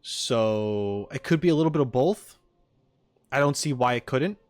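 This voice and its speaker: A man's voice: medium pitch, lively intonation, moderate pace, darkish tone, dry recording. A man chatting casually as a streamer to a live audience, rambling and playful. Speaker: streamer reacting to game content.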